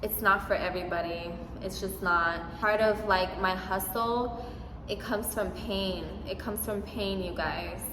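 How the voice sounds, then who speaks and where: A woman's voice: medium pitch, steady tone, unhurried. Young woman, casual vlogger, sassy and emphatic; roomy echoey recording. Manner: sassy, emphatic, talks straight to viewers. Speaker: vlogger giving advice. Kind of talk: casual Q&A vlog talk. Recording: echoey room with noise.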